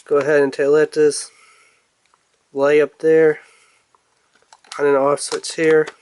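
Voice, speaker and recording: A man's voice: fairly high pitch, completely flat delivery, slow, a little mellow, slight background noise. A man giving casual, off-the-cuff commentary as he reviews a toy in an unboxing video. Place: indoors at a table.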